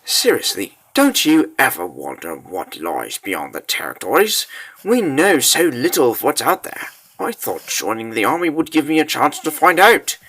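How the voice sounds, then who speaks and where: Guy doing a young soldier who dreams big and gripes, casual, bright, fantasy comic dub. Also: medium pitch, huge pitch swings, moderate pace, full character voice. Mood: wistful. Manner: casual, griping. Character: idealistic young male soldier, restless and sarcastic.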